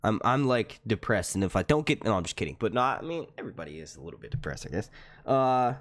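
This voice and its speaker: A young man hosting a casual live stream at home into a desk microphone, chatty and profane, with a naturally loud, carrying voice. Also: lowish voice, very animated, fast talker. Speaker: YouTube live stream host. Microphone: desk mic.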